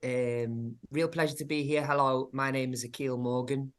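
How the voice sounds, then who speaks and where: Halting Liverpudlian man presenting online. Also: mid-range voice, steady tone, a bit bright. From England. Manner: thoughtful, halting, many ums. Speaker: community arts director, speaker. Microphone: video call.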